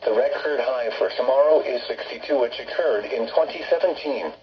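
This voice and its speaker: A synthetic text-to-speech man's voice reading a scripted weather forecast over radio in a formal, segmented, flat manner. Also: mid-range voice, brisk. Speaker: automated weather radio announcer. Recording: muffled, noisy weather radio broadcast with hum.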